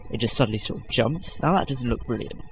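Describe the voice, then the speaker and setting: A man's voice: mid-range voice, fast talker, vocal fry, slight background noise, poor audio. A young man hosting a casual video-editing tutorial, rambling with lots of fillers and self-corrections, self-deprecating. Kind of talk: unscripted screen-recording tutorial.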